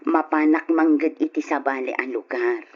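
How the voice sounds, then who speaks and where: Husky, moralizing Ilocano matron. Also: female voice, deep voice, fairly even intonation, moderate pace. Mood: sorrowful, firm. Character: gossiping, moralizing wife and sorrowful departing mother. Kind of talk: old Ilocano radio drama acting. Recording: dull lo-fi radio drama recording.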